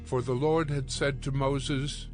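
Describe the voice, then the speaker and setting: A man narrating scripture from a script, solemn and deliberate, as in an audio Bible. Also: medium pitch, flat delivery. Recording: music behind the voice.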